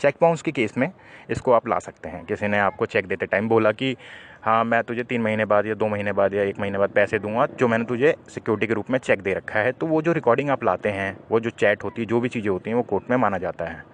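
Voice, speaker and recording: A man's voice: fairly low pitch, fast talker, a little hiss or hum. A man presenting a legal explainer, speaking didactically to viewers and posing questions that he then answers.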